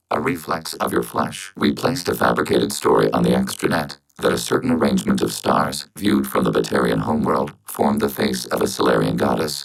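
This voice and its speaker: A science fiction game robot with an extremely low, gravelly voice. It speaks in formal, measured phrases, calm and emotionless, through synthetic robotic processing. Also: male voice, heavily acted, poor audio. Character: calm logical robot of a networked machine collective. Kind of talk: scripted science fiction video game dialogue.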